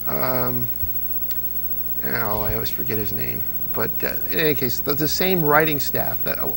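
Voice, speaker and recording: A man's voice: medium pitch, vocal fry, fairly bright tone, a little hiss or hum, low-quality recording. A man being interviewed as an old-time radio fan, telling anecdotes eagerly with plenty of fillers. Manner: enthusiastic, anecdotal storyteller with many fillers. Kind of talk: casual interview.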